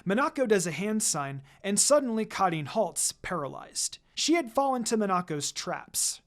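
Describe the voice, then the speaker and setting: A narrator reading a scripted story aloud in an animated way, with character dialogue. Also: mid-range voice, moderate pace. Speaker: fan fiction narrator.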